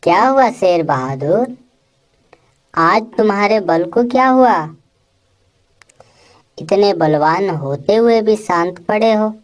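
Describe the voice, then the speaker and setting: A woman voicing a clever, fearless male monkey who reasons with self-assurance in an animated moral story. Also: mid-range voice, takes it slowly, long pauses. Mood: teasing.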